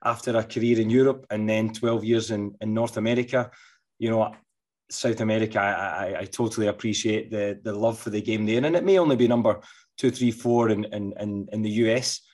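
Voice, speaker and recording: A man's voice: on the low side, fairly even intonation, a little fast, darkish tone. A middle-aged man hosting an interview podcast, speaking enthusiastically and repeating words as he sets up his questions. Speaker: podcast host. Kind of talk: interview host, casual.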